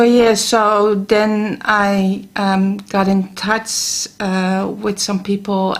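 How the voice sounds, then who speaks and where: A middle-aged woman from the Netherlands with a Dutch accent, a guest on a radio show, talking about Reiki with many pauses and restarts. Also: mid-range voice, darkish tone. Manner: hesitant, many ums and restarts. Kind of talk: casual radio interview. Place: radio studio.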